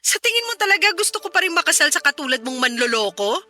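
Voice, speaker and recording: A woman's voice: high-pitched, sing-song, fast, pushed and bright, faint music behind, heavily acted. A woman voicing a wounded, sarcastic fiancée scolding her cheating man in a Filipino-accented radio drama. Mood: furious, sarcastic.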